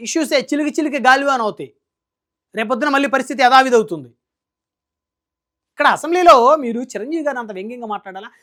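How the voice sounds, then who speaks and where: Fast-talking, emphatic male news commentator. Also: very high-pitched, bright, forceful tone. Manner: emphatic, scolding, rhetorical questions. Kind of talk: opinionated political news commentary.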